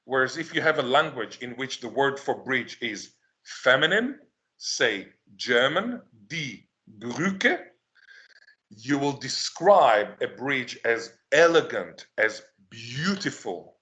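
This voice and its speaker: Emphatic male lecturer, slowing for stress. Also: mid-range voice, very animated, bright, forceful tone. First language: Hebrew. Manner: emphatic, rhetorical questions answered himself, slows to stress key words. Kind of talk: academic lecture.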